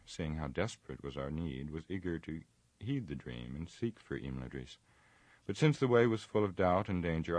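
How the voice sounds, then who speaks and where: A man's voice: rumbling low, darkish tone, no room sound. A man reading aloud as a proud warrior lord's son, telling his tale in an earnest, formal way. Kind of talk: audiobook reading.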